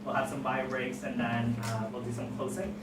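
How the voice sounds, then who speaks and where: An event host with a halting, informal way of speaking, making announcements to open a gathering. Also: medium pitch, little pitch movement. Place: indoor event room, recorded from afar. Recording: echoey distant room recording, loud noise.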